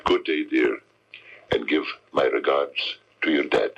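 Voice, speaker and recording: An actor playing a gruff, blunt ex-policeman turned private detective in a 1970s radio drama. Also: extremely low pitch, measured pace, fairly bright tone, exaggerated voice. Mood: gruff, dismissive. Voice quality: gravelly. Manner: blunt, grumbling, folksy. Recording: dull 1970s AM radio broadcast recording.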